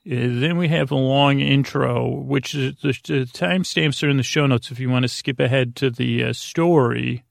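A man with a rusty, gravelly voice hosting a bedtime podcast, meandering absent-mindedly through halting tangents and often interrupting himself. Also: mid-range voice, dry recording, clean studio sound. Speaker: podcast host. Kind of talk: rambling bedtime monologue.

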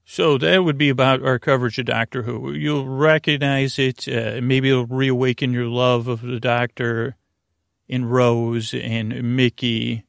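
A man hosting a sleep podcast in a meandering, halting bedtime monologue, full of restarts and whimsical, punning tangents, with a gravelly voice. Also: medium pitch, no echo, clean studio sound. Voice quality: creaky, gravelly. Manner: whimsical, punning, silly asides.

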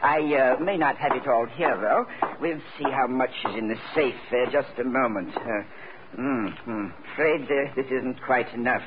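An actor playing a genteel, cowardly swindler with artistic airs, over-polite and wry, in an old-time radio drama. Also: male voice, medium pitch, moderate pace, lightly acted. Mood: smooth, businesslike. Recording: tinny, hissy vintage radio recording.

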